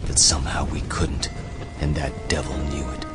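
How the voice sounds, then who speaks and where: An actor in a radio play playing a captivating history professor who lectures with measured, theatrical intensity. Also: male voice, rumbling low, flat delivery, over music. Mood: bitter, intense.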